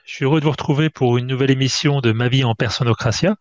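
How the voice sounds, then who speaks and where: A man hosting a conversational interview over a video call, speaking haltingly, with many hesitations and restarts. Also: medium pitch, dry recording. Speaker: host. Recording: dull, dry video-call audio.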